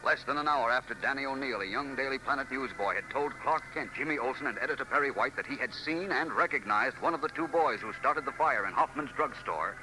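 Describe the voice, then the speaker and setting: A man's voice: mid-range voice, a little fast, few pauses, background noise. A man narrating a 1940s radio drama in a grave, emphatic voice. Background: music.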